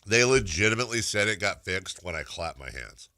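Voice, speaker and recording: A man's voice: fairly low pitch, very animated, brisk, pushed and bright, no room sound, studio quality. A man hosting a morning sports radio show, nasal and joking, laughing often with his co-host. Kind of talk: casual morning radio banter. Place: radio studio. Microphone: studio microphone.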